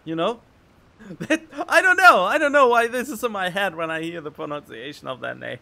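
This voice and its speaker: A man giving casual let's play commentary as a game streamer, halting and repeating words as he thinks aloud. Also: high voice, expressive, vocal fry, pushed and bright.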